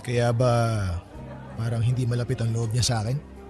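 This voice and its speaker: A man's voice: medium pitch, completely flat delivery, normal speed, over music, close to an everyday voice. A man playing an earnest young adult younger brother in a radio-style drama, guarded and questioning in manner. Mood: guarded, hurt.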